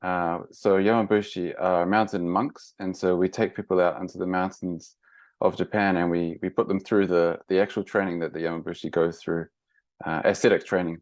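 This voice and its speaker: A man with a New Zealand accent, guest on a travel podcast, explaining at length in a relaxed way with many fillers and restarts. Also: deep voice, normal speed, dry recording. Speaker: guest, mountain monk trainer. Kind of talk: casual interview.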